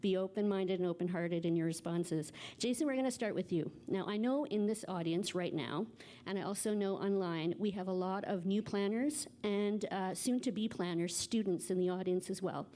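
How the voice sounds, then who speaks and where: A woman hosts a panel on a conference stage, reading biographies from her notes in a measured, formal way. Her voice sits at an ordinary middle pitch, and she now and then stumbles over a name before carrying on. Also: warm tone. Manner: formal, reads from notes, trips over names. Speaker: panel moderator. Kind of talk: reading speaker bios and moderating a panel.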